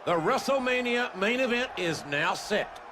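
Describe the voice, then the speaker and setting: A man playing an excited wrestling play-by-play commentator in a video game, announcing with a shouting, hyped delivery. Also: high voice, unhurried, over a noisy crowd, very noisy. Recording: game audio with music underneath.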